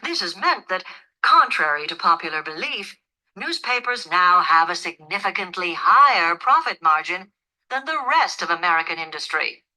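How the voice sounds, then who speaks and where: Very bright-voiced woman giving a formal lecture. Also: fairly low pitch, animated, poor audio. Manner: measured, formal, explanatory. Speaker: lecturer in a listening test. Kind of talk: scripted academic lecture.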